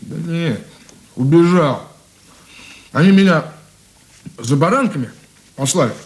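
A man's voice: on the high side, expressive, slow, low-quality recording. An actor playing a henpecked, sweet-toothed man in a radio play, plaintive and hesitant, repeating himself. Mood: sheepish. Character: henpecked, sweet-toothed man grumbling about his in-laws.

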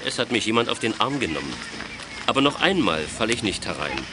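A schoolmaster in a children's audio drama. He is stern yet wry, with a dry delivery and very lively intonation. Clear ambient noise sits behind his voice. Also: fairly low pitch, fast. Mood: wry suspicion. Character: stern, wry male maths teacher at a school.

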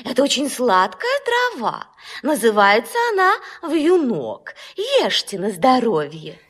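A woman playing a motherly, naive goose in a children's audio play, with honking, drawn-out exclamations. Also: a little high, huge pitch swings, slightly rough, heavily acted. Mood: doting.

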